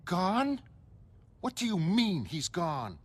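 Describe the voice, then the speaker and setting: Distraught, easily provoked woman done by a man, voice raised very high. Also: huge pitch swings, takes it slowly, a little hiss or hum, full character voice. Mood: alarmed. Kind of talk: motion comic dramatic acting.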